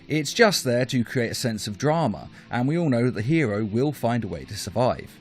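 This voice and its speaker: A man's voice: mid-range voice, lively intonation, normal speed. A man hosting a countdown video, reading scripted commentary in an emphatic, explanatory way. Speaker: host of a top-five countdown video. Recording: music behind the voice.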